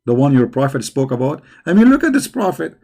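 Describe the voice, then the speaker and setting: Gravelly-voiced man, combative and mocking. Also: medium pitch, very animated, fairly quick, a little mellow. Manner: combative and mocking toward questioners; repeats words while searching for phrasing. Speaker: religious debate host. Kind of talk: live-stream commentary answering viewers.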